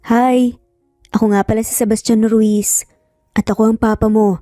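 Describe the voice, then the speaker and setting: A woman voicing an earnest, remorseful young father with a hushed, emotional Filipino-accented delivery in an audio story. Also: a little high, level tone, normal speed, dry recording, clean studio sound, soft background music, lightly acted. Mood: nervous.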